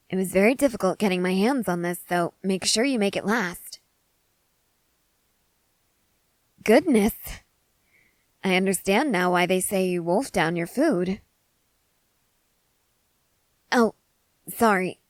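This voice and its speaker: A woman playing an excitable, curious scientist in a scripted ASMR roleplay, speaking in eager, wordy, formal and apologetic phrases. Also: medium pitch, dry recording, lightly acted. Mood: friendly, apologetic.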